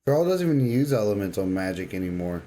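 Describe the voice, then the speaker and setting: Low-voiced man bantering on a podcast. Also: sing-song, fast, dark tone. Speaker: podcast co-host. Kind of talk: casual gaming podcast banter.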